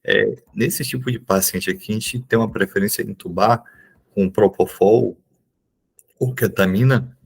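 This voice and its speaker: A young man from Bahia, Brazil, speaks with a Bahian accent and a husky, creaky voice. He teaches in an informal exam-review style, explaining step by step. His delivery halts often and checks in with the listener. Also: lowish voice. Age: young adult. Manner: halting, explanatory, with checking tags. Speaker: neurology resident and exam prep teacher.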